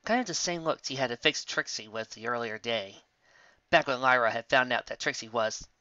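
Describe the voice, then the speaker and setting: A man's voice: mid-range voice, a little fast, bright, forceful tone, dry recording, muffled recording. A man narrating a story expressively from a script.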